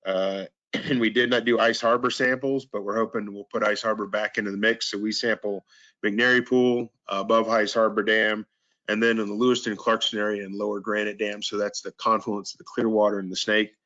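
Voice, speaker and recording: Hoarse low-voiced man on a call. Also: level tone, a little fast, bright, forceful tone. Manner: hesitant, frequent ums and throat clearing. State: hoarse, recovering voice. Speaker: meeting presenter. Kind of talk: casual verbal update. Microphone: video call. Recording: dull lo-fi call audio.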